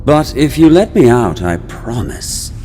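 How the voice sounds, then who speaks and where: An actor playing a wryly insincere trickster god in a video game, speaking in a teasing, theatrical way. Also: male voice, mid-range voice, big pitch swings, unhurried, warm tone. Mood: sly, wry. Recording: music behind the voice.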